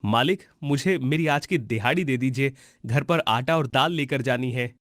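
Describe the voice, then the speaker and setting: A man's voice: medium pitch, normal speed, fairly bright tone, no echo, studio quality, lightly acted. A man voicing a meek, poor old farm labourer in a cartoon story, with a submissive, pleading manner. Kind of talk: Hindi cartoon story voice acting.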